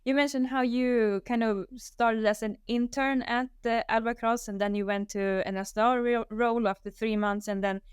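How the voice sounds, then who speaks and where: Woman, podcast host, very clear smooth voice, dry sound, casual hedging talk. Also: on the high side, steady tone, moderate pace, few pauses, dry recording. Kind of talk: podcast interview.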